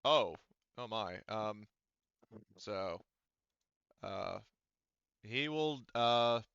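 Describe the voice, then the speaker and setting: A man running a casual online tabletop roleplaying game as game master, thinking aloud haltingly as he works through the rules. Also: fairly low pitch, expressive, gravelly, a bit bright, smooth, no room sound, highs cut off.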